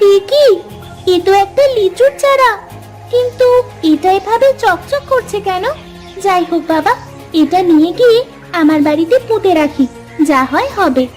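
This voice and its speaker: A woman's voice: very high voice, animated, moderate pace, pauses often, over music. A woman voicing a sweet, wistful young village girl in a cartoon fairy tale. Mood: curious.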